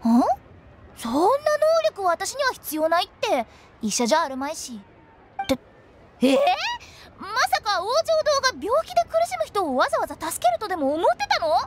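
A woman's voice: very high voice, sing-song, moderate pace, heavy background noise. A woman voicing a cheeky teenage girl who runs a funeral parlor in an anime game, teasing and theatrical with a sweet salesy patter. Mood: animated, explaining. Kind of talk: Japanese anime game voice acting. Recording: music under the voice. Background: game music.

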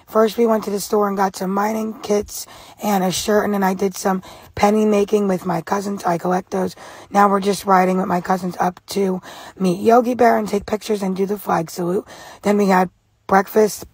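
A young woman doing a casual, plainly told vlog voice-over with a husky voice. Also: medium pitch, flat delivery, normal speed, no echo. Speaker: vlogger. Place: voice-over, partly recorded outside.

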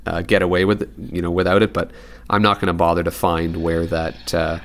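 A man with a very low voice and a lot of vocal fry talks through a repair demonstration. He is informal and unscripted, rambling a little as he thinks out loud at his workbench. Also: completely flat delivery, brisk, a little hiss or hum. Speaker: radio repair hobbyist and presenter. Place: indoors at a desk in an apartment living room.

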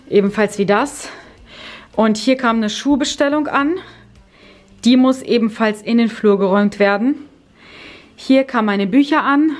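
A woman's voice: medium pitch, a little mellow. A woman in her thirties walking through her home on camera, chatting casually about what she has tidied. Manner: chatty, explaining as she walks around. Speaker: home vlogger. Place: bright modern home, indoors.

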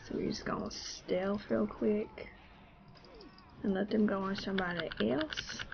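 A woman's voice: mid-range voice, unhurried, gravelly, darkish tone, dull audio, slight background noise. A woman with a cold and a congested voice, chatting casually and laughing at herself while she plays. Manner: chatty, laughing, self-deprecating. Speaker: gaming YouTuber. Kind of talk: casual gameplay commentary. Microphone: desktop mic, no webcam.

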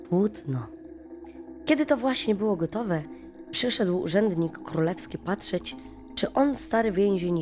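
Lower-pitched woman narrating a bedtime fairy tale. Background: music.

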